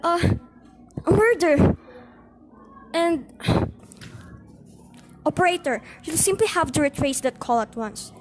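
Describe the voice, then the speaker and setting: A very high, anxious female voice acted by a woman plays an imperious, nervy housewife in an amateur radio drama. She frets and insists, over faint background noise. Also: lively intonation, takes it slowly, pauses often. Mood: horrified, urgent. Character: nervous, demanding invalid housewife, alone and alarmed. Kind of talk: amateur radio play acting.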